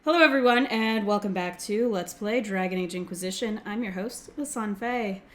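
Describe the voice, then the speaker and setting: A young woman hosting a game let's play, slightly hoarse as she recovers from a throat infection, chatting casually and reading game text aloud. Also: medium pitch. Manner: reads game text aloud quickly, filler-heavy. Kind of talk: casual gameplay commentary.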